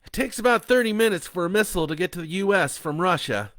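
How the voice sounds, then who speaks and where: A man's voice: high voice, lively intonation, moderate pace, fairly bright tone, pitched above the performer's own voice. A man doing a strained, urgent grandfather. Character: middle-aged father and grandfather, tense.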